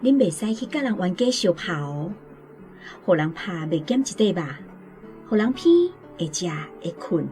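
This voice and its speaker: A woman with a Taiwanese accent playing a sharp-tongued but soft-hearted mother in a radio drama. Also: lowish voice. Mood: caring, admonishing. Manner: scolding, exclamatory. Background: music.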